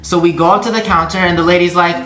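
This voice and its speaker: Young woman, low-pitched voice, casual storyteller, animated dialogue re-enactments, echoey room. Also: fast. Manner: animated, acts out quoted dialogue. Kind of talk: casual chatty anecdote with friends. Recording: roomy echo.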